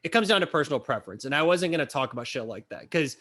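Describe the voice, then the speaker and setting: A man's voice: a little high, lively intonation, rapid-fire, sharp tone. A man in his thirties, a bit sick, hosting a casual interview and talking loosely and frankly with plenty of swearing. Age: 30s. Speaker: interviewer, channel host. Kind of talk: casual chat.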